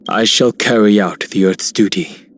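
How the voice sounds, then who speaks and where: A noble game hero acted by a man with a low, rough-edged husky voice. He speaks in formal, earnest phrases suited to a fantasy epic. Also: moderate pace, natural voice. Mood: solemn, hopeful. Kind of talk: scripted game character voice lines.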